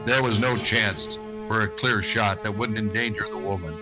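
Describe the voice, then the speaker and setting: Man as stern, steady frontier marshal, music behind. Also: fairly low pitch, normal speed, natural voice. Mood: grave. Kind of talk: western radio drama acting and narration.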